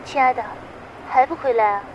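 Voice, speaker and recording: A woman acting a high-voiced, tender young sweetheart. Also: fairly quick, background noise, lightly acted. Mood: tender, apologetic. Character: tender, apologetic young girlfriend or wife. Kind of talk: audio drama acting.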